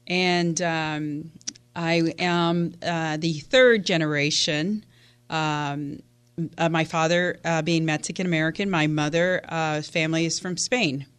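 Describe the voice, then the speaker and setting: A woman's voice: deep voice, steady tone, slow pace, clear, resonant tone. A woman from Houston, Texas, a guest on a radio interview, telling her story in a chatty way with many fillers. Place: radio studio.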